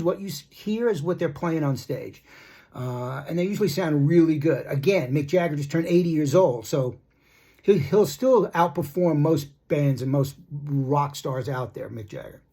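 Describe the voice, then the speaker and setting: Husky middle-aged man, rambling and blunt. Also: on the high side, mellow. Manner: rambling, repetitive, halting asides; blunt, critical. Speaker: rock music commentator. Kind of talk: casual opinion monologue.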